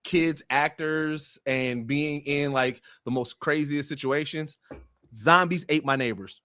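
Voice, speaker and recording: A man's voice: on the high side, takes it slowly, sharp tone, clean tone. A man on a podcast panel chatting casually, eagerly explaining his ideas in slangy speech. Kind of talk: casual chat.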